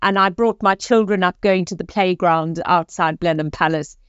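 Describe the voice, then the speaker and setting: Hesitant South African woman, smooth voice. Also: on the low side, dry recording, lo-fi sound. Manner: hesitant, halting, with fillers and restarts. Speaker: guest, organisational development consultant. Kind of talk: podcast interview conversation.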